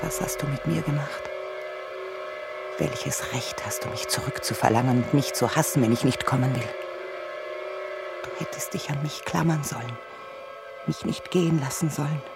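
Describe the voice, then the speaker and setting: An actress in a German radio play voicing a bitter, defensive grown daughter who accuses and anguishes. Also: female voice, very deep voice, moderate pace, vocal fry, long pauses. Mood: anguished. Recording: music behind the voice. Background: music.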